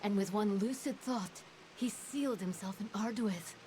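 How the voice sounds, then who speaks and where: A woman voicing a solemn, mournful fey herald in a fantasy game, speaking in a grave, measured, formal way. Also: medium pitch, warm tone, a little hiss or hum, close to an everyday voice, rough recording.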